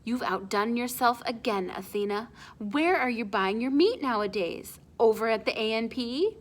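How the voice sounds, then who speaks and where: A woman's voice: fairly high pitch, animated, moderate pace. A woman voicing a breezy, teasing, brash uncle in a storybook, with casual, punchy, slangy delivery.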